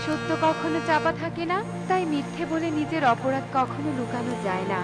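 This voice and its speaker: A woman's voice: high-pitched, fairly quick, over loud music. A woman narrating a scripted children's story.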